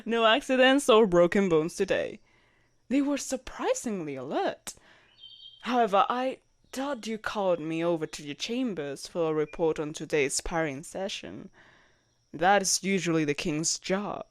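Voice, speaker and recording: Young female knight commander, played by a woman, audio roleplay. Formal, loyal, easily flustered; unhurried delivery, lively intonation. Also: fairly low pitch, dry recording, studio quality. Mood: composed, courteous. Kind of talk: roleplay audio drama acting.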